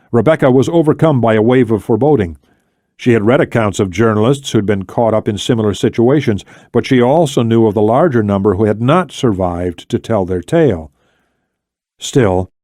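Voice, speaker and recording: A man's voice: on the low side, a little mellow, no echo. Man narrating a thriller audiobook, dry sound. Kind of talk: scripted thriller novel narration.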